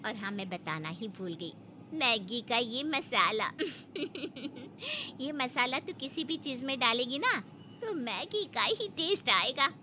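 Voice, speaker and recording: A woman's voice: high voice, big pitch swings, takes it slowly, pushed and bright, slight background noise, exaggerated voice. A woman voicing a cheerful, chatty mother from a village in a cartoon story, gushing and eager. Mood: excited. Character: cheerful, eager village mother visiting her married daughter.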